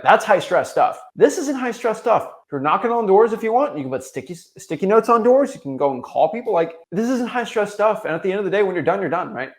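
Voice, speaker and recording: A young American man giving an unscripted motivational talk as a coach, emphatic and repetitive, with informal slangy phrasing. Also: high voice. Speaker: online business coach.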